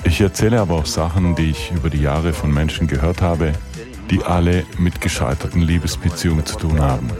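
A man reading a German voice-over translation of an interview in a calm, even manner. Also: very deep voice, a little fast. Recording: voice-over with clear music underneath. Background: music.

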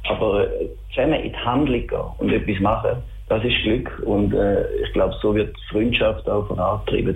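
A low-pitched middle-aged man with a Schaffhausen Swiss German accent talks in a casual interview. His voice has a creaky, gravelly grain and comes through thin, like a phone line. He feels his way through answers, haltingly but with enthusiasm. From Schaffhausen, Switzerland. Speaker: interviewed band musician.

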